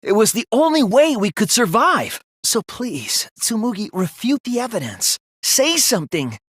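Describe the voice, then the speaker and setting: A man's voice: high-pitched, very animated, fairly bright tone, breathy edge, no echo, full character voice. A man acting an earnest, sensitive and anxious teenage boy detective in a dramatic video game dub. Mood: desperate pleading. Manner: earnest, measured explaining that turns to strained pleading.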